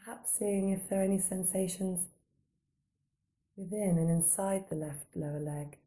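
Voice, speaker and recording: A woman with a deeply mellow, slightly low voice leads a guided body scan. She speaks calmly and gently, without hurry. Her soothing instructions are spaced out with long pauses. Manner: calm, unhurried, gentle phrasing with long pauses. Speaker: meditation guide.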